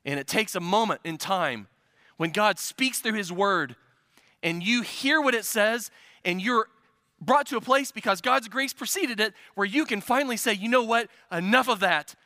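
A man's voice: high-pitched, moderate pace, bright, forceful tone, dry recording, studio quality. A man from the Midwest preaching a sermon, building to urgent, emphatic repetition. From American Midwest. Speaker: pastor.

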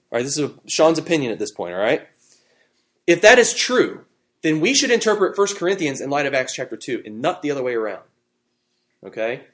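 A man teaching an evening Bible class to a live group, talking it through in a conversational way, often checking in with "okay" and "right.". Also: mid-range voice. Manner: conversational, thinking aloud with fillers and checking okay.

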